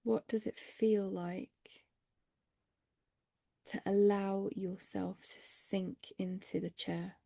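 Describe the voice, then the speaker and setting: A woman guides a meditation very slowly and gently, leaving long pauses. Her voice is mellow and breathy with a noticeably creaky edge, sometimes sinking close to a whisper. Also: medium pitch, fairly even intonation, no room sound.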